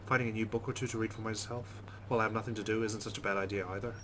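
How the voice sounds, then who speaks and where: A man's voice: on the low side, steady tone, very fast, noisy background. A man hosting a let's play, reading visual novel text aloud and adding informal, chatty commentary.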